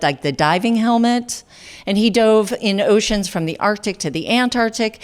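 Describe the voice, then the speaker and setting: A woman's voice: fairly high pitch, smooth. A woman emceeing on an event hall stage, giving a playful mock-serious introduction that piles up one fake career after another.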